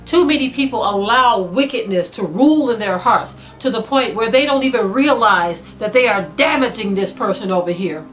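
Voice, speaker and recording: A woman preaching a sermon to an online audience, earnest and exhorting, slowing down to stress her points. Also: fairly high pitch. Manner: earnest, exhorting, slow and emphatic with rising intensity. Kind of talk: spoken sermon to a video audience.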